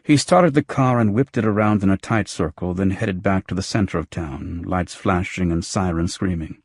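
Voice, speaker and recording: Low, husky male audiobook narrator. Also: normal speed, no room sound, clean studio sound. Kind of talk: scripted first-person noir fiction narration.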